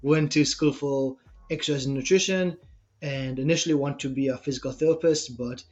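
A man's voice: medium pitch, steady tone, a little fast, darkish tone, highs cut off. A young man with an Israeli accent, a personal trainer answering podcast interview questions in an earnest, explanatory way.

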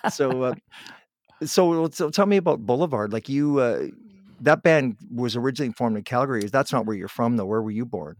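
A man's voice: mid-range voice, a little fast, no echo, clean studio sound. A middle-aged man hosting a casual interview, friendly and affirming, often talking in long run-on thoughts. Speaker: interview host. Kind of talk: casual conversation.

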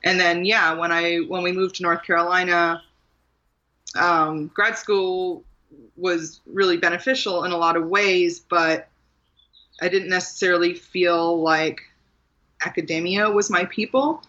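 A woman's voice: lowish voice, steady tone, unhurried, bright, forceful tone, lo-fi sound. Candid, laughing woman author guest. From Maryland, USA. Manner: candid and self-deprecating, laughs often. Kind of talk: casual interview conversation.

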